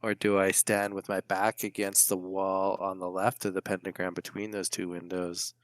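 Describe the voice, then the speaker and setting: Dry-sounding, lowish man playing a tabletop game. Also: monotone, normal speed, no room sound. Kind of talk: casual in-game talk.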